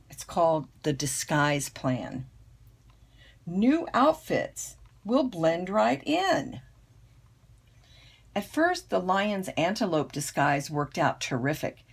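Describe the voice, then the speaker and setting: An older woman, a grandmother, reading a children's picture book aloud with expressive, storytelling emphasis. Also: low-pitched, husky. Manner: unhurried, dramatic storytelling emphasis.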